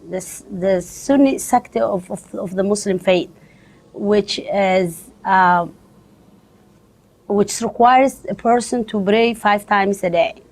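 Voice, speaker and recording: A woman from Somalia, a social work graduate giving students a spoken overview, pausing often with fillers and restarts. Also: medium pitch, poor audio. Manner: halting, frequent "uh" fillers and restarts.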